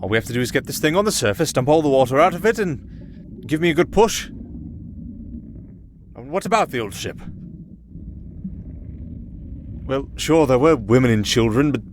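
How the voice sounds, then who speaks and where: Castaway sailor-singer for fantasy audio roleplay, male actor. Medium male pitch, wry and faintly sad, halting asides, noticeable background ambience. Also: big pitch swings, natural voice. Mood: wry, practical. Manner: hesitant, dryly sardonic, chatty. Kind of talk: ASMR roleplay monologue. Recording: clearly audible ambient noise under voice.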